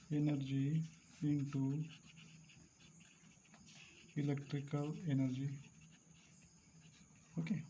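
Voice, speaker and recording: A male teacher explaining a device to students, repeating key terms slowly and clearing his throat now and then. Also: on the high side, mellow, slight background noise, rough recording. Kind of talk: classroom lecture at a board.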